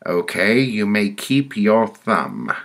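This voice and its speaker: A merciless woman judge from a dark story, played by a male narrator, hands down her sentences in a commanding manner. The voice is pushed up in pitch and keeps a gravelly edge. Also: very animated, slow, full character voice. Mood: cold, imperious. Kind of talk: storytelling character voice.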